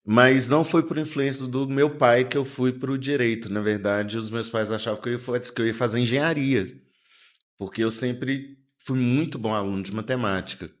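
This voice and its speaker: A man's voice: mid-range voice, fairly even intonation, moderate pace. A man, a lawyer guest telling family stories in an easygoing, humorous way. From born in Venezuela, raised in inland Minas Gerais, Brazil. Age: 40s. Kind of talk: casual interview storytelling.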